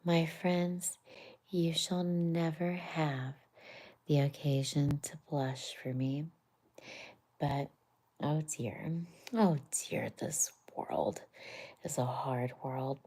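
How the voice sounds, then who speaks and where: A woman voicing a boastful, vain male toad in a soft whisper. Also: low-pitched, steady tone, extremely slow, exaggerated voice. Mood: remorseful.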